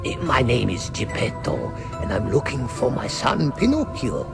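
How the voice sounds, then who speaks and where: A man's voice: medium pitch, wildly swooping pitch, normal speed, vocal fry. An actor playing a kindly, fretful old father in a video game, with a quavering way of talking. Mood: worried. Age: older. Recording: music behind the voice.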